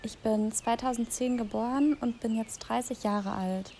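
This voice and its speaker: Actress as a 30-year-old, earnest, slightly high, faint birds behind. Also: female voice, fairly even intonation, moderate pace, dark tone, a little hiss or hum, natural voice. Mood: plain. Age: 30s. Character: woman of 30 who watched forests die.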